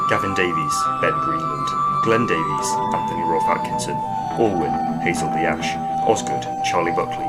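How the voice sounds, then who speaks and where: A man reading scripted cast and title credits as an announcer. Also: low-pitched.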